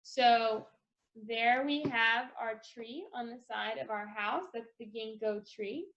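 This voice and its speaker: Slow-paced woman hosting a children's craft tutorial. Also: a little high, fairly even intonation, a bit bright, dull audio. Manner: encouraging, instructional. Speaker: craft lesson host.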